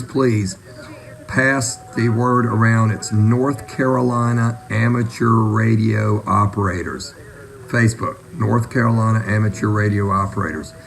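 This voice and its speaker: Low-voiced man running a radio net. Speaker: ham radio net controller. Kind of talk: casual radio net hosting.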